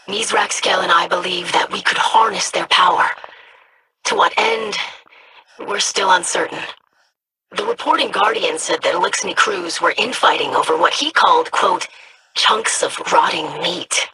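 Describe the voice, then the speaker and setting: A performer voicing a scholarly researcher of ancient relics in a video game, speaking in a measured, formal, analytical way. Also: medium pitch, lively intonation, pushed and bright, slightly rough, heavily acted, poor audio. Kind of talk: video game character lines.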